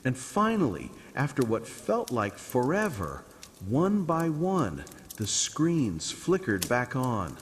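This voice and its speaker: Slow, expressive male storyteller in long reverb. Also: mid-range voice, a little hiss or hum. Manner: calm, soothing, dramatic storytelling. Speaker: narrator. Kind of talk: scripted bedtime story narration.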